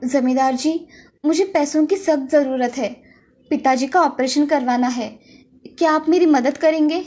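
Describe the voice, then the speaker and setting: A woman playing a dutiful, respectful college-age daughter in an animated moral story, with an earnest, pleading manner. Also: high voice, unhurried, soft background music. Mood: pleading, grateful. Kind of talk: children's animated story acting.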